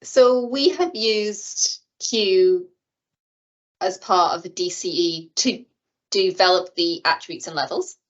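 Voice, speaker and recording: Low-voiced woman explaining over a call. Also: slow. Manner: explanatory, with many fillers like "you know" and "kind of". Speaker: invited expert. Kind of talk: online seminar explanation. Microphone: video call. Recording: muffled video-call audio.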